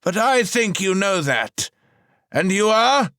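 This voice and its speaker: A man's voice: high-pitched, big pitch swings, takes it slowly, sharp tone, no echo, studio quality, pitched above the performer's own voice, full character voice. A man doing a boastful court wizard. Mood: sly. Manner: grandiose, declaiming. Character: grand, boastful wizard and royal advisor. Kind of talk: audiobook character voice.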